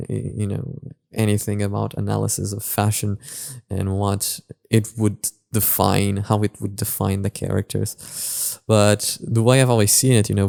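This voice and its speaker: A man hosts a reaction video in a low, gravelly voice with a mellow tone. He talks casually and analytically, often halting to search for the right words.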